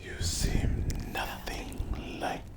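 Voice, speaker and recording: A performer voicing a menacing, sadistic demon in a breathy, gravelly whisper, taunting theatrically in a dark fantasy ASMR roleplay. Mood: threatening, contemptuous. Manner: slow, drawn-out, taunting, theatrical. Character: menacing sadistic demon guarding its unholy lair.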